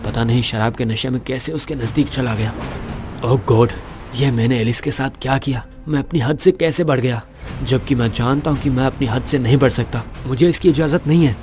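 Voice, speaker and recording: A man's voice: medium pitch, brisk, darkish tone, soft background music, natural voice. A man playing an arrogant, cold young rich boss in an audio romance drama, haughty, mocking and contemptuous. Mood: agitated, annoyed.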